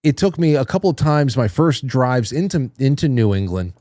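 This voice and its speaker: A man's voice: medium pitch, lively intonation, normal speed, dark tone, no room sound, clean studio sound. A man hosting a live call-in show in casual chat, joking and swearing freely as he talks. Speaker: radio show host.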